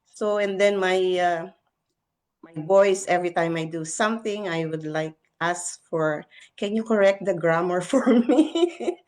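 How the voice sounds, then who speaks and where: Slow, lower-voiced Filipino-accented woman. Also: a little mellow. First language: Filipino (Tagalog). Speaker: interviewer. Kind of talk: casual chat, personal anecdotes.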